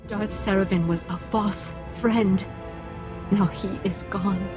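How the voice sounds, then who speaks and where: A woman's voice: mid-range voice, fairly even intonation, slow pace, over music, natural voice. A woman playing a solemn alien mystic in a game, speaking in terse, cryptic phrases. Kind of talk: video game cutscene acting.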